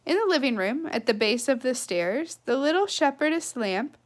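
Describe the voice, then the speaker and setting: A woman reading a children's novel aloud with expressive, emphatic phrasing and casual asides. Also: high-pitched, normal speed. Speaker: read-aloud storyteller.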